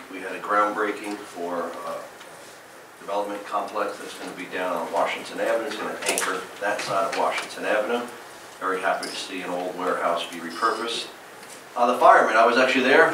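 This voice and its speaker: Mayor with a New Jersey accent reporting at a council meeting, bright forceful voice, heard from across an echoey chamber. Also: male voice, on the low side, distant mic, slight background noise. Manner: upbeat, listing events with frequent "uh" fillers. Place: large council chamber, indoors.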